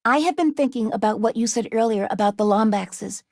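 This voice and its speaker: Woman voicing an earnest, high-pitched little robot. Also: brisk, a little mellow, dry recording, clean studio sound, natural voice. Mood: earnest, gentle. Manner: formal, precise diction without contractions. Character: small earnest, self-doubting female robot companion. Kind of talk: video game character dialogue.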